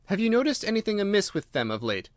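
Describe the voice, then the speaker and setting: A man voicing a confident, brisk Victorian gentleman detective in an audiobook, courteous, assured and playfully secretive. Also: high voice, very animated, no room sound, clean studio sound, voice pushed up. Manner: courteous, assured, formally worded.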